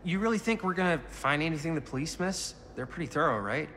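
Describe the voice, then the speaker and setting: A man playing an earnest, awkward young amateur filmmaker in a video game, with an American accent and a casual, halting delivery. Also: a little high, lively intonation, fairly bright tone, a little hiss or hum. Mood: casual, doubtful. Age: young adult. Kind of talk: naturalistic game cutscene acting.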